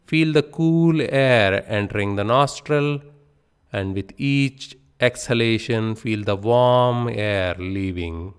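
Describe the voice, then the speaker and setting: A man guiding a beginner meditation session in a calm, unhurried way. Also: medium pitch, clear, resonant tone. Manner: slow, calm, unhurried guidance with pauses. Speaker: meditation instructor. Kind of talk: guided meditation instruction.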